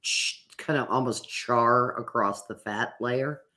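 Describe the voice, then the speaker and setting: A woman's voice: very deep voice, takes it slowly, sharp tone. A woman chatting casually as a panelist, playful and exclamatory in the way she talks. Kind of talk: casual chat.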